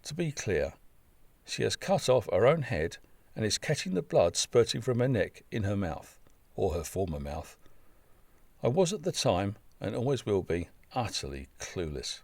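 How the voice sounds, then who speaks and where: A man reading a scripted humorous travel story in a wry, droll manner with deadpan asides. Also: lowish voice, normal speed, gravelly, dry recording.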